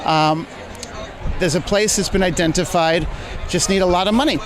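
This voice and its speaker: Man, theater donor, high-ish voice, plain matter-of-fact talk, noisy gala crowd behind. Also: moderate pace. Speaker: theater supporter, guest. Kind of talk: red-carpet interview. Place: outdoors at an evening gala. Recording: loud crowd chatter behind.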